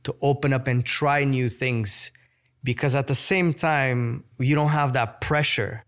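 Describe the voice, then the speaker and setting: A man with a Portuguese accent hosts a relaxed interview, speaking in English as a second language. He is engaged and encouraging and draws on his own experience, with a mid-range male pitch. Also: a little slow, smooth. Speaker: host. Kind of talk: conversational interview.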